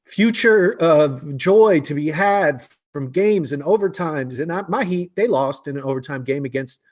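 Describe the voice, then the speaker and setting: A man with a slightly high voice talks sports on a casual podcast. He is playful and upbeat, with a jokey, enthusiastic way of going on. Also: lively intonation, normal speed. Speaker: podcast co-host.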